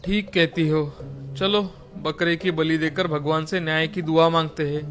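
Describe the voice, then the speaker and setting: A man's voice: fairly high pitch, steady tone, normal speed, fairly bright tone, a little hiss or hum, close to an everyday voice. A man playing a hard-working, secretive farmer husband in a moral-story cartoon, with theatrical, exclaiming delivery. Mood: matter-of-fact. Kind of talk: exaggerated Hindi moral-story cartoon dialogue.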